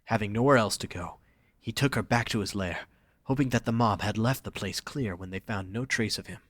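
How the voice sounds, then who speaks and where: A man's voice: lowish voice, fairly quick, no room sound. A man narrating a scripted romantic story for an audio drama, reading dramatically.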